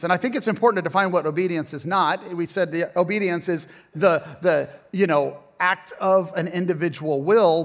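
A man's voice: sits high, a bit bright. A middle-aged man preaching a conversational sermon, casual and self-deprecating with joking asides.

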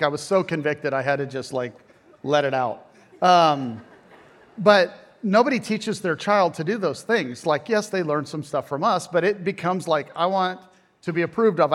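A man's voice: a little high, moderate pace. A middle-aged man preaching a conversational sermon, casual and self-deprecating with joking asides.

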